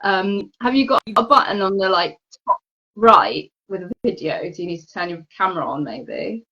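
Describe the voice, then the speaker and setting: Female host of an online chat show, easy and friendly, filler-heavy, slightly dull call sound, mid pitch. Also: normal speed, bright, forceful tone. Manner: friendly, chatty, many fillers. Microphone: video call. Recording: dull lo-fi video call audio.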